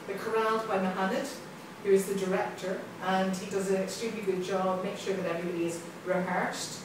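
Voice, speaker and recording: Woman in an echoey office, far from mic. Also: mid-range voice. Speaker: country director. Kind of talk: interview answers. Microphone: phone camera. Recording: echoey room recorded from far off, noisy.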